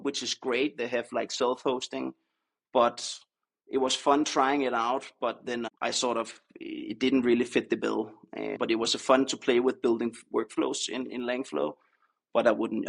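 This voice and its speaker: A Danish man talks casually as a podcast guest, speaking English with a Danish accent. His voice is heavily creaky and gravelly, sitting at an ordinary male pitch. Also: moderate pace. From Denmark. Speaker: podcast guest, startup co-founder. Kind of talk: casual interview chat.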